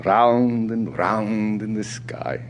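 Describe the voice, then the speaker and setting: An actor playing a restless, talkative South African ex-soldier in an audio drama, chatty and colloquial. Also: male voice, lowish voice, extremely slow, slight background noise. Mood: wistful. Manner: chatty, colloquial, punctuated by sighs and short laughs. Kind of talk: audio theatre acting.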